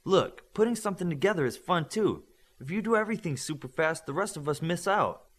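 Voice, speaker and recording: A man voicing an excitable, blunt teenage boy superhero, talking casually and emphatically. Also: a little high, brisk, husky, voice pushed up. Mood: indignant.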